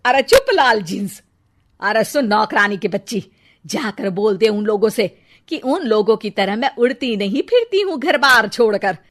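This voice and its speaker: A woman voicing a cranky, sharp-tongued older Indian mother-in-law in a Hindi cartoon story, with a scolding, sarcastic manner. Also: on the high side, lively intonation, measured pace. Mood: indignant.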